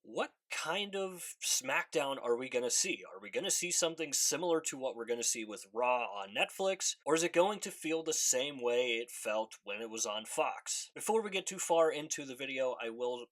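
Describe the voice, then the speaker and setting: A man's voice: mid-range voice, lively intonation, normal speed, few pauses, a bit bright. A man with a congested voice from allergies, talking through his predictions in a casual solo video, often repeating himself as he thinks aloud. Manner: speculative, conversational, repeats "I think" and "again". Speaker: wrestling commentary YouTuber.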